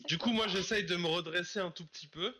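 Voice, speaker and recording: A man playing a tabletop role-playing game, casually describing his actions in a tentative, halting way. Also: high-pitched, pushed and bright, a little hiss or hum.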